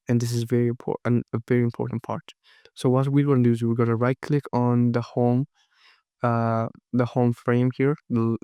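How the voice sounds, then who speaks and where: Guy with a cold doing a screen tutorial, monotone, clean voice, dry sound, lots of ums. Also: medium pitch, clean tone, dry recording. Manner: unhurried, thinking aloud with frequent fillers and restarts. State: has a cold, voice cracking. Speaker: design course instructor. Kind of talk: screen-recorded software tutorial.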